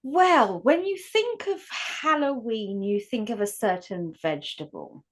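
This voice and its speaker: A woman's voice: a little high, animated, unhurried. A woman from West London with a mixed London accent, co-hosting a casual podcast chat. She talks chattily, laughs easily and throws in jokey asides. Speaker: podcast co-host.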